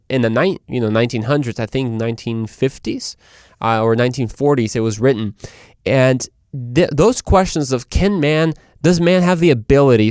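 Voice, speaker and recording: A man's voice: mid-range voice, darkish tone, no room sound, clean studio sound. A man from Mexico, hosting a show in a casual, conversational monologue and often interrupting himself. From Guadalajara, Mexico. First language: Spanish. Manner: informal, conversational, self-interrupting. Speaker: show host.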